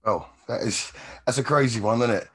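Husky man hosting, croaky voice. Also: mid-range voice, normal speed. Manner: relaxed, chatty, loose phrasing. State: hoarse. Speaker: podcast host. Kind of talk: casual chat.

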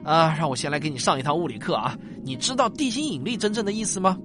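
A patient dad in a sci-fi radio drama played by a male actor. He explains like a coaxing teacher, his pitch moving widely, with music clearly behind him. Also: animated, quick pace, close to an everyday voice. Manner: coaxing, teacherly, many softening particles. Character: patient, explaining father of a young child, space traveler. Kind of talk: Chinese science fiction radio drama acting.

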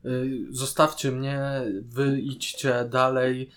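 A man's voice: medium pitch, level tone, slow pace, a bit bright. A man talking casually as a podcast co-host, giving his opinions in an informal way. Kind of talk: casual review chat.

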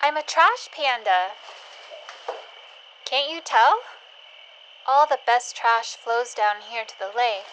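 Woman playing a high-voiced, slow, dreamy scavenger. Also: expressive, a little hiss or hum, low-quality recording. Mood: playful, musing. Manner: hesitant, dreamy, gentle questioning. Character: curious, wistful woman scavenger living by a landfill. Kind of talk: audio drama acting.

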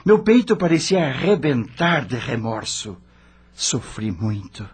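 Anguished, very husky dead rocker. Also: male voice, medium pitch, very animated, heavily acted. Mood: remorseful. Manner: dramatic, anguished, deliberate. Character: anguished dead male rock star recounting his suffering in hell. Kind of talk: radio drama narration in first person.

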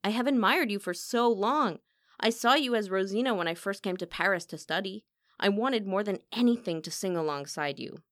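A woman's voice: mid-range voice, expressive, normal speed, fairly bright tone, no echo, studio quality, natural voice. A woman voicing an earnest young opera singer in an audiobook, devoted and wounded, pleading and protesting. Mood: hurt protest. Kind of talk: audiobook character acting.

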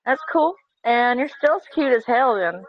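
A woman's voice: fairly high pitch, animated, unhurried, dry recording, lo-fi sound. A woman in her thirties in a casual, flirty chat, teasing and full of pet names. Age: 30s.

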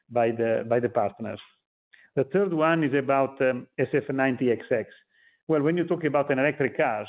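Halting male executive on tinny phone line. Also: mid-range voice. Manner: halting, searching for words. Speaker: company executive. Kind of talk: answering questions on an earnings call. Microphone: conference call line.

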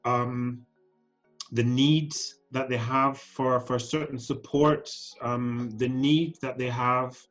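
A man's voice: mid-range voice, fairly even intonation, takes it slowly, fairly bright tone. Man in his forties, podcast guest, hesitant, lots of ums, faint music underneath. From Scotland. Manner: hesitant, many ums and restarts. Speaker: guest, fashion council CEO. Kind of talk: podcast interview.

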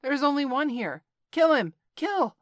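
A woman voicing a chattering horde of boastful monkeys, shrill and shouting. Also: animated, normal speed, dry recording, clean studio sound. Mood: frenzied.

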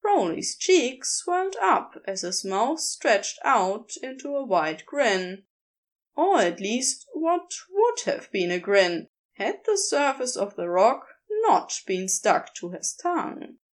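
Female story narrator, higher-pitched, expressive and animated, very unhurried pace. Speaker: podfic narrator.

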